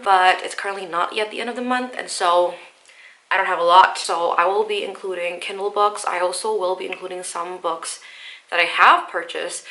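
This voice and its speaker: A woman's voice: medium pitch, bright, forceful tone, rough recording. A young woman vlogging about books, nasal and stuffed up from the flu, chatty and explaining each pick step by step. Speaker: book vlogger. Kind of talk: casual vlog talk to camera.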